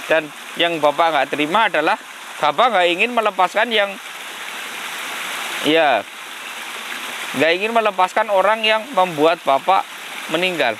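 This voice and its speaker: A man's voice: high-pitched, animated, unhurried, pauses often, background noise. A man with a slight cold talking casually to viewers as a host, often breaking into religious exclamations. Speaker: paranormal channel host.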